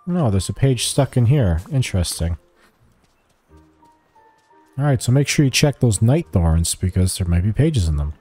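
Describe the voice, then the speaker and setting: A man's voice: lowish voice, very animated, normal speed, pauses often, dark tone, soft background music. A man giving a casual video game walkthrough, thinking aloud in short, offhand remarks as he plays. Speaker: gamer giving a quest walkthrough. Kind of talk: casual gameplay commentary.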